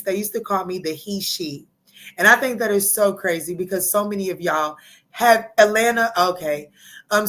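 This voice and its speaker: A raspy-voiced woman hosts a casual livestream. Her talk is chatty and unfiltered, full of asides to her viewers. She sits in the middle of the usual pitch range for a woman. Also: fairly bright tone. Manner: chatty, loose and playful, greeting viewers by name. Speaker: tarot reader and livestream host.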